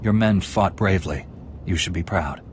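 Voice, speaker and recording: An actor playing a composed, resolute knightly hero in a sci-fi video game, speaking in an earnest, measured way. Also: male voice, low-pitched, slight background noise, natural voice. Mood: assured. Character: steadfast, composed young male Jedi knight hero.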